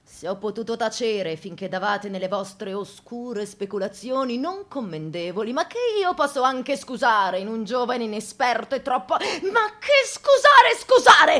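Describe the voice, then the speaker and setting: Outraged old priest, forceful and slow. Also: female voice, a little high, very animated, exaggerated voice. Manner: pompous, emphatic, drawn-out. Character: stern, outraged old male priest, pompous and authoritarian. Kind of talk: theatrical radio drama declamation.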